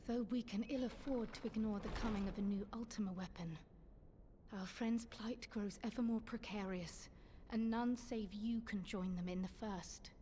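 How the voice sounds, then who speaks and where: A woman playing a gentle, concerned young ally in a fantasy game, with earnest, formal speech. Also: mid-range voice, normal speed, a little hiss or hum, close to an everyday voice. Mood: worried. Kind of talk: video game cutscene voice acting.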